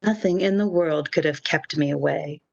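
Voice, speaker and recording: A woman's voice: sits low, normal speed, gravelly, a little mellow. A woman playing a devoted, reassuring lover in a radio romance, speaking soothingly and affectionately on a dull lo-fi microphone. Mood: tender. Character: devoted, tender, reassuring woman, long-lost lover returned. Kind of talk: old-time radio romance acting.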